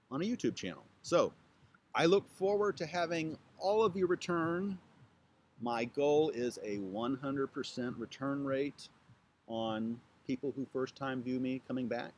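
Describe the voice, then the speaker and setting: Playful guy vlogging outside, bouncy up-and-down voice, spells stuff out slow. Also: mid-range voice, slight background noise. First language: English. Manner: chatty, playful, spells words out slowly. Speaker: YouTube channel host. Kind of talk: casual self-introduction to camera. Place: outdoors with trees behind.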